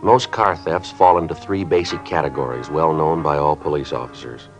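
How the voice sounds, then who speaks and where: An actor playing a terse, matter-of-fact American police sergeant in an old radio crime drama, with a flat, businesslike delivery. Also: male voice, very deep voice, moderate pace, faint music behind. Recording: dull, band-limited old radio recording.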